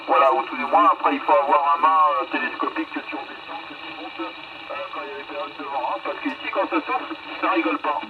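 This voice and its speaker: A man chatting casually over two-way radio from a moving car, in a repetitive, halting way. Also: fairly high pitch, steady tone, dull audio, background noise. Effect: two-way CB radio transmission. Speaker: amateur radio operator driving a car. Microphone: radio microphone.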